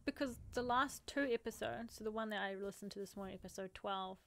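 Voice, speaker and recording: A woman co-hosting a casual podcast chat, talkative and quick to laugh. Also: mid-range voice, vocal fry. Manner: chatty, laughs easily. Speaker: podcast co-host.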